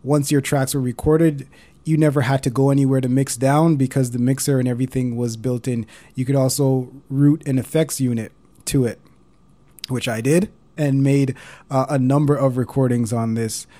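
A man's voice: medium pitch, steady tone, normal speed, dark tone. A man narrating a casual explainer as host, in an informal, conversational way. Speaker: host of a video series on analog recorders.

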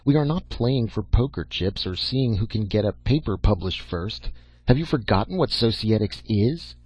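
A man's voice: lowish voice, lively intonation, moderate pace, darkish tone, breathy edge. A man voicing a crusty old professor in an audiobook, lecturing bluntly and with emphasis. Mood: snorting, impatient. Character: old, crusty, commanding male professor and mentor. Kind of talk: audiobook character acting. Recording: dull lo-fi audio.

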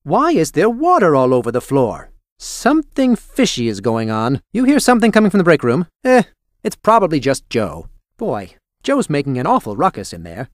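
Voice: medium pitch, wildly swooping pitch, normal speed, no echo, clean studio sound. An actor voicing a nerdy, easily exasperated aquarium biologist in a comic video game, with theatrical, exclamatory delivery. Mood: uneasy, grumbling.

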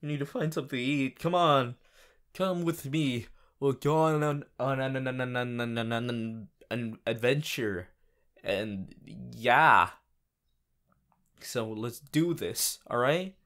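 A man's voice: medium pitch, takes it slowly, fairly bright tone. A man voicing a goofy, whiny teenage anime boy, a clingy boyfriend rambling hesitantly in an audio roleplay. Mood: playful, pleading. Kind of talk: improvised audio roleplay addressed to the listener.

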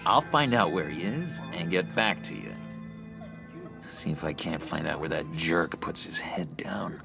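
An actor playing an eager, unhinged young schemer in a video game, halting and wheedling with sinister warmth. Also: male voice, deep voice, creaky, over music. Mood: coaxing, fond. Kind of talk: video game character acting.

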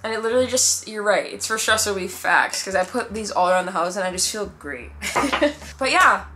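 A woman's voice: mid-range voice, lively intonation, normal speed, pushed and bright, slight background noise. A woman in her twenties chatting casually to camera as a vlogger, slangy and full of asides, recorded indoors at home. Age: 20s. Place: indoors in a furnished home room.

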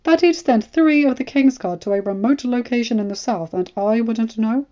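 A woman reads as a shrewd court spymaster in a fantasy story. The voice is raised high, with a formal, indulgent, knowing delivery. Every phrase sounds like calculated scheming. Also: normal speed, dark tone, highs cut off, natural voice. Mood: knowing, insistent. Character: shrewd, smooth, scheming male royal spymaster.

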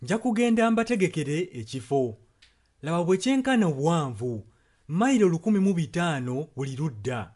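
Ugandan male sermon interpreter, slightly high voice, translating in short passages. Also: big pitch swings, moderate pace, darkish tone.

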